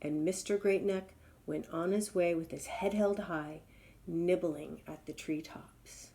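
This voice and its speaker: A woman's voice: on the low side, steady tone, a little slow, warm tone. A woman storyteller reading a children's tale aloud in a dramatic, emphatic way.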